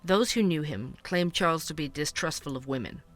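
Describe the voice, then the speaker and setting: A man's voice: on the high side, fairly quick, a bit bright, faint music behind, close to an everyday voice. A man playing a candid, wry investigative podcast host in an audio drama, speaking conversationally. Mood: sober, informative. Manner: conversational, wry, hesitant asides. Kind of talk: fictional podcast narration and dialogue.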